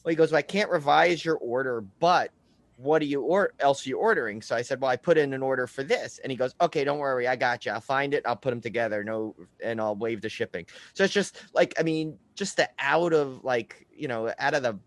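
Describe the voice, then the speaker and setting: A man's voice: medium pitch, brisk, no room sound. A man in casual, conversational podcast chat, talking like a host among friends.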